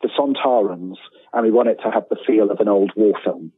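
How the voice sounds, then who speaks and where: Husky low-voiced man on a tinny line. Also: a little fast. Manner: rambling, self-deprecating, trailing restarts and quoted asides. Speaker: interview guest, freelance writer. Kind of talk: casual interview chat. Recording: tinny phone-like line with room echo.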